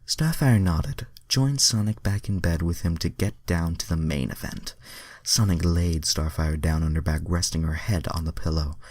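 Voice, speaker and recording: A man's voice: low-pitched, normal speed, darkish tone, no echo. A man giving an amateur dramatic reading of fan fiction, breaking off for self-mocking asides.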